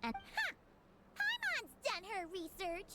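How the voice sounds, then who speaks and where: A tiny, proud fairy companion from a video game. The voice is squeaky, childlike and extremely high, swinging in lively exclamations over clear background music. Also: female voice, slow pace, exaggerated voice. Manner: exclamatory, refers to self in third person.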